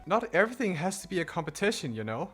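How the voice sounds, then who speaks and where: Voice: mid-range voice, sing-song, fast talker, a bit bright, breathy edge. A streamer giving casual, off-the-cuff gameplay commentary. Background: game music.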